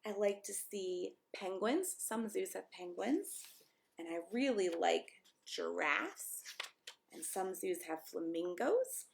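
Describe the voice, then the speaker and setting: A woman reading a picture book aloud to young children, often asking them questions in an expressive way. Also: mid-range voice, slow, vocal fry, a little hiss or hum. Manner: expressive, encouraging questions to listeners. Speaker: pastor reading a story to children. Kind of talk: read-aloud storytime, chatting to young children.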